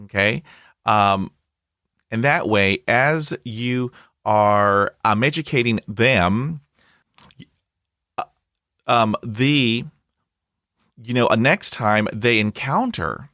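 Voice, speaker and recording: A man's voice: on the low side, slow pace, pauses often, bright, forceful tone, no room sound, studio quality. A man hosting a podcast interview, stuttering heavily with blocks and repeated sounds, upbeat and chatty with lots of fillers. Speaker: podcast host. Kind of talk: conversational interview.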